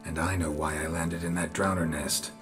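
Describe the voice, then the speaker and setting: A man playing a stoic, world-weary monster hunter in a video game, terse and sardonic, with a gruff voice. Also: low voice, flat delivery, normal speed, a bit bright, faint music behind. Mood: dry, sardonic.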